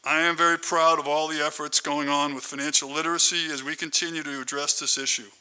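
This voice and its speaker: A man's voice: a little high, little pitch movement, fast talker, bright, forceful tone, smooth, low-quality recording. A man hosting a conference, giving a formal, prepared introduction speech. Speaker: conference host introducing keynote speaker.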